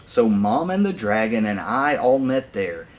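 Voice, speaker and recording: A man reading a rhyming picture book aloud to children in an expressive, deliberate storytelling manner. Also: medium pitch. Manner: animated storytelling, deliberate phrasing.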